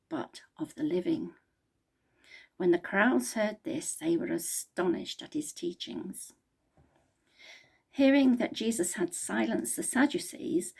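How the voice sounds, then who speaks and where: A woman's voice: mid-range voice, creaky, a little mellow, breathy edge. A woman reading the Bible aloud as a member of a church.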